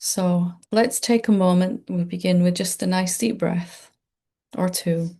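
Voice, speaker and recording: A woman meditation teacher leading a gentle, unscripted guided meditation in a soothing, informal and reassuring way. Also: on the low side, fairly even intonation, unhurried.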